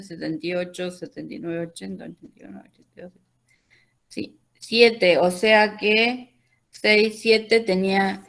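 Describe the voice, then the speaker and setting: A woman's voice: mid-range voice, vocal fry. An Argentine woman teacher guiding students through an online class consultation, hesitant with many fillers.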